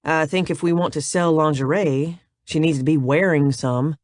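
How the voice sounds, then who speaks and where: Reserved businessman, plain and quiet, dry close sound, voiced by a man. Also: a little high, fairly even intonation, normal speed, no room sound, close to an everyday voice.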